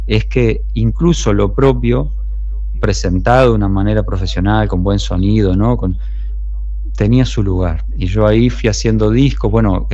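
A middle-aged man from Uruguay with a Uruguayan Spanish accent, a musician talking through his story in a relaxed, thoughtful interview, often restarting his sentences. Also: on the low side. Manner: thoughtful, rambling with many restarts. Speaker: street musician and songwriter. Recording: dull muffled sound.

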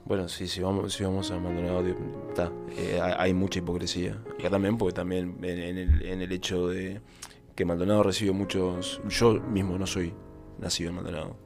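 Male co-host, low voice, hesitant delivery, faint guitar underneath. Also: level tone, fairly quick. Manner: hesitant, many fillers and restarts. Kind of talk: casual talk-show chat.

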